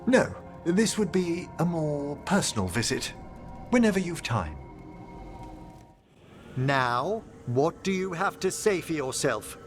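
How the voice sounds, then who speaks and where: An actor playing a refined older necromancer scholar in a video game, gentle and wistful, with formal, eloquent, measured phrasing. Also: male voice, on the high side, very animated, soft background music. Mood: fond exasperation. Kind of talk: video game dialogue acting.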